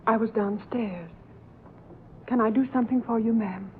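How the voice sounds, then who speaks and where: An actress playing an ailing, frightened housemaid, pleading in a hushed, deferential way in old-time radio horror. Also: female voice, a little high, moderate pace, natural voice. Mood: timid, deferential. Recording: tinny band-limited old radio, hissy.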